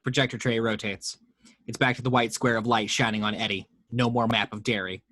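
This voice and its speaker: A man's voice: medium pitch, fairly quick, dry recording. Man narrating stage directions, dry. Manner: steady reading of stage directions, voicing sound effects. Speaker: narrator reading action description. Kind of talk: table read narration.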